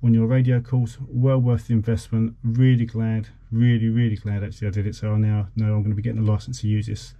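A man's voice: on the low side, little pitch movement, fast talker, hardly any pauses, mellow. A man in his forties giving an informal, slightly hesitant explanation as he demonstrates equipment in a vlog. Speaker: vlogger. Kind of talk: informal demonstration of a boat radio. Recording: clearly noisy background.

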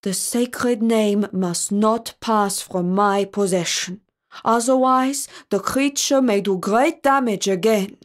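Storybook rabbi, a firm and cautious man, solemn formal phrasing, slow delivery played by a female narrator. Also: high-pitched. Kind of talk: storybook character voice.

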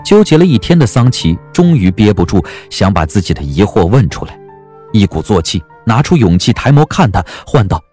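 A male audiobook narrator with a fairly low voice. He tells the story in measured, expressive phrases with lively intonation, over faint music. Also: husky. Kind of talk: scripted dramatic storytelling narration.

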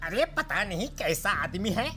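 A woman's voice: mid-range voice, very animated, a little slow, bright, forceful tone, faint music behind. A woman voicing a scornful, judgmental male villager gossiping in a cartoon. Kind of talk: cartoon acting.

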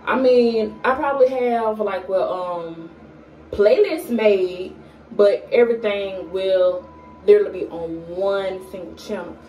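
A young woman in her early twenties talks slowly and casually to her camera, filling pauses with plenty of ums and stumbling on a word now and then. Her voice is mellow and relaxed. It sounds recorded in a small echoey room, with the camera set a little away from her. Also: mid-range voice, recorded from a distance, slight background noise. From Atlanta, Georgia. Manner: unhurried, with frequent fillers and pauses; stutters at times. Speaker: vlogger. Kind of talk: casual get-to-know-me chat to camera. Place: small indoor room at home. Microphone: camera in front at arm's length.

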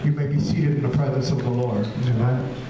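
A middle-aged man preaching a sermon in a small church hall, adding "amen" after nearly every phrase, with halting restarts. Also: medium pitch, steady tone, noisy background. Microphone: handheld mic, filmed from a far camera. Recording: very echoey room, distant camera.

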